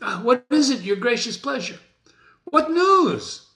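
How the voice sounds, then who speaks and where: A meek servant from a stage tragedy, voiced by a man reading aloud. The voice jumps up high and moves unevenly in pitch. He is nervous, hesitant and deferential toward his master. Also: big pitch swings, unhurried, bright, forceful tone, exaggerated voice. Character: nervous, meek male servants reporting to their king. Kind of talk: dramatic reading of a stage play.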